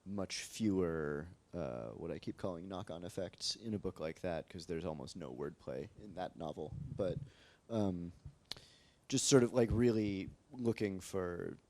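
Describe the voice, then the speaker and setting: An American man speaking as a translator on a panel, relaxed and rambling, with many fillers and restarts. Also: low voice, a little slow. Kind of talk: casual panel discussion. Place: library event space with live audience.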